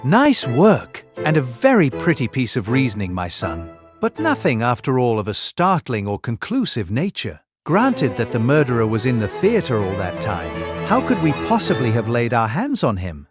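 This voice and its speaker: A man voicing a gruff, shrewd older police inspector and father, speaking in formal old-fashioned phrases in an audiobook mystery. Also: medium pitch, huge pitch swings, moderate pace, hardly any pauses, music in the background. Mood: dry, challenging. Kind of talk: full-cast audiobook mystery drama.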